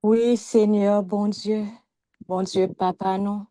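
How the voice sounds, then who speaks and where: Haitian-accented female, earnest spontaneous prayer, unhurried and warm-toned, close dry sound. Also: medium pitch, level tone, no echo. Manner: earnest, addressing God.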